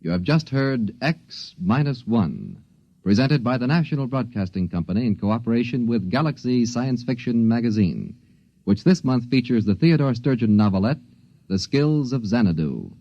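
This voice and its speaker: A male radio announcer reading the closing credits in a measured, formal style. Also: mid-range voice, sing-song, no room sound. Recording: dry lo-fi 1950s radio transcription.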